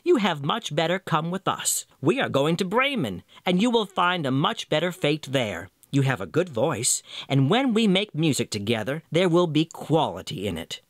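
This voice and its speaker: A man's voice: fairly high pitch, big pitch swings, normal speed, few pauses, no echo. A man voicing a sociable donkey who leads his friends in a storybook, hearty and coaxing. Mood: hearty persuasion.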